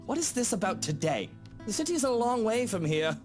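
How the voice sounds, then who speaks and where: A sneering upper-class British husband in a visual novel game. His voice sits fairly high and swoops widely as he drawls with sardonic condescension, dismissive and suspicious, over soft guitar music. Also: very animated, brisk.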